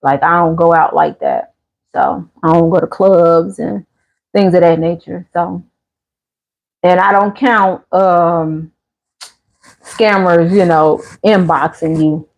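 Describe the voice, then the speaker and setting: A woman's voice: fairly low pitch, fairly even intonation, slow, gravelly. A woman, casually chatting on her channel's live stream and answering viewers' comments. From Chicago area. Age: 50s. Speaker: channel host.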